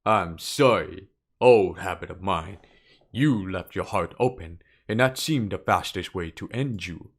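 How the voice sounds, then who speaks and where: A man playing a calm, sinister priest villain in a fan dub, speaking in a measured, formal, smoothly condescending way. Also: low-pitched, heavily acted. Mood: coldly menacing. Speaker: amateur voice impressionist. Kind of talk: dramatic fan dub character acting. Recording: background music under the voice.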